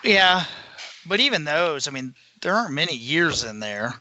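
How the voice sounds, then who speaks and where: Bright, forceful higher-voiced man chatting. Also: sing-song, normal speed, muffled recording. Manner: informal, conversational. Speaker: podcast co-host. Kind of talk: casual fan discussion.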